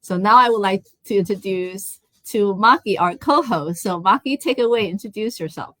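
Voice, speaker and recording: A woman from Taiwan hosts a live online interview in a chatty way, often pausing on fillers. Her voice sits mid-range and sounds dry and close, with no room echo. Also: medium pitch, fairly bright tone. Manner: chatty, with many fillers and restarts. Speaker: host.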